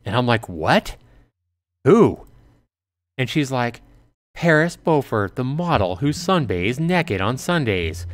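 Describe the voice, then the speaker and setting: A man doing casual livestream game commentary, reading dialogue aloud and talking straight to his viewers in an exclamatory, animated way. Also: medium pitch, slow pace, pauses often. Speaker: livestream host playing a visual novel.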